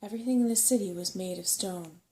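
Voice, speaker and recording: A soft-spoken woman narrating a bedtime story in an ASMR style. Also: mid-range voice, quick pace, slight background noise.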